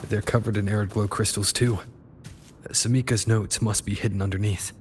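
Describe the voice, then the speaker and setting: A man voicing a calm, steady young male adventurer in a video game, composed and matter-of-fact. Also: lowish voice, moderate pace, a little hiss or hum, lightly acted. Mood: decisive.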